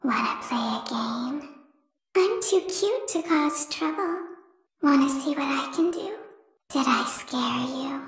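A woman's voice: sits high, lively intonation, takes it slowly, roomy sound, exaggerated voice. A woman voicing eerie childlike animatronic toys with a taunting, whispery sing-song.

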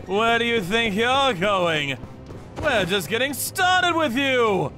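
A man acts out a cold, vengeful torturer narrating a manga story. His voice is fairly high and swings dramatically, with menace in the delivery and music clearly behind it. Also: very animated, slow pace, bright, forceful tone, full character voice. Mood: menacing. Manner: theatrical, menacing. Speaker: narrator and lead voice actor.

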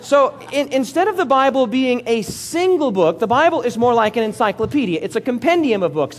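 A man preaching a sermon as pastor, talking with the congregation, asking questions and joking with them. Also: extremely high pitch. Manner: lively, interactive preaching with audience questions and jokes. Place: church hall before a congregation.

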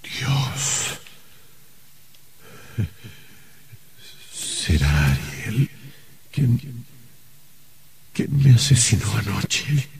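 A jumpy suspicious husband, old radio play, slow talker, voice swings up and down. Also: male voice, mid-range voice, big pitch swings, vocal fry, a little hiss or hum, full character voice. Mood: fearful. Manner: theatrical, stammering when startled. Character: flustered, suspicious husband returned from death. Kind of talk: old radio drama acting.

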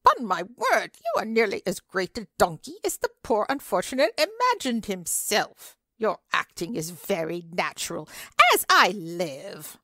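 An indignant old lady from a storybook, as a woman puts her on. She scolds in a prim, exasperated way, with raised and lively pitch. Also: a little slow, no echo, studio quality, heavily acted. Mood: scolding.

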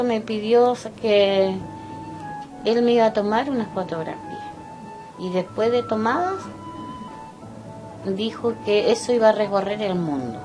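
An older Chilean woman recalling her life slowly and haltingly, pausing often to remember. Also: fairly high pitch, poor audio. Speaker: interviewee. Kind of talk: personal recollection. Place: indoors, small room by a window.

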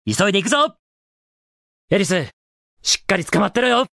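A man's voice: sits high, very animated, normal speed, creaky, sharp tone, no echo, clean studio sound, heavily acted. A man voicing a cocky, upbeat, heroic young hedgehog in a Japanese video game, with a confident, casual, brash delivery. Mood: determined. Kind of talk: Japanese video game voice acting, short clips.

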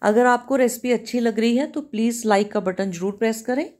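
A woman hosting a home cooking channel talks through each step of a recipe in a calm, instructive way, often repeating herself. Her voice sits a little high but sounds warm and mellow. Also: fairly quick. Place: home kitchen.

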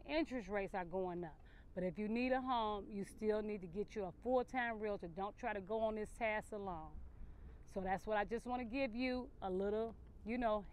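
A middle-aged woman with a Southern American accent, a real estate agent talking straight to camera outdoors at a building site in an upbeat, direct sales-pitch way. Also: a little hiss or hum. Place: outdoors at a housing construction site. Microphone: camera facing her at close range.

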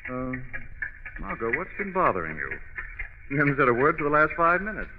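Exasperated suave gentleman, medium pitch, lively inflection, vintage radio drama with heavy noise. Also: brisk. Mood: exasperated, pleading. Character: exasperated, suave man placating his sweetheart. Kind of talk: 1940s radio acting. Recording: noisy, dull 1940s radio recording.

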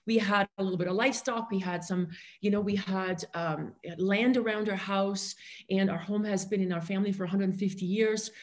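A woman's voice: mid-range voice, steady tone, brisk. Middle-aged Austrian-born woman telling her story. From rural Austrian Alps. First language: German. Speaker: podcast guest. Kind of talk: personal storytelling in an interview. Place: indoor room on a video call.

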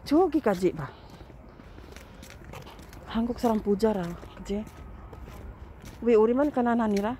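A Filipino-accented woman with a slightly high voice chats casually for a walking vlog. She takes her time with a very unhurried delivery. The handheld outdoor recording has clear noise behind her. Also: warm tone. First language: Tagalog. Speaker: vlogger. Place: outdoors at a riverside camping area.

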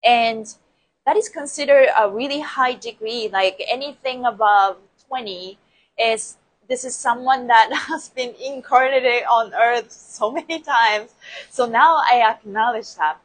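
Woman, Japanese accent, 40s, slightly high voice, chatty livestream talk, lapel mic. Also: unhurried, poor audio. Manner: chatty, laughs often, repeats phrases, gestures with her hands. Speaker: dance and movement coach. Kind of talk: casual livestream talk to viewers. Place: indoor room with plants behind. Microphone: lapel mic clipped to shirt.

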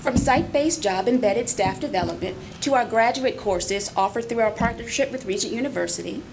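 A woman's voice: medium pitch, vocal fry, a little hiss or hum. Woman narrating a school presentation. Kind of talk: scripted narration.

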